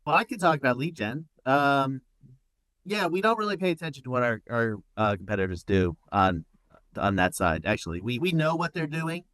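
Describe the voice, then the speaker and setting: A man co-hosting a podcast casually, speaking in halting bits with many restarts. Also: medium pitch, big pitch swings, a bit bright, no room sound.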